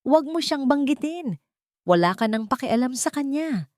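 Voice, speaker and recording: A woman's voice: high voice, expressive, normal speed, dry recording, clean studio sound, voice pushed up. Woman voicing a stern, suspicious rich father. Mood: angry. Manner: sharp, interrogating.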